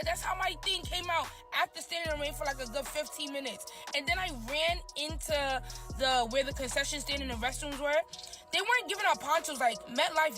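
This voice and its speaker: A woman with a high, bright voice chats casually and tells a story with lots of slang and expression. Music plays clearly behind her. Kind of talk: casual chat.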